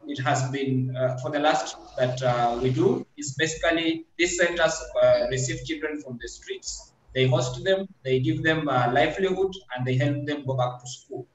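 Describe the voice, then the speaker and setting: A man with a Kenyan accent speaking haltingly as a charity leader on an online panel. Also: medium pitch. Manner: halting, pausing mid-sentence. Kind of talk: introductory talk on a video call. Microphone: video call. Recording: echoey, noisy, muffled internet connection.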